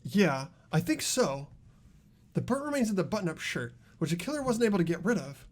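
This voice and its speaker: Man acting as an earnest, high-voiced student detective. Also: very animated, brisk. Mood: thoughtful. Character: earnest young male student detective, puzzling things out. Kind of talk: amateur voice acting in a visual novel playthrough.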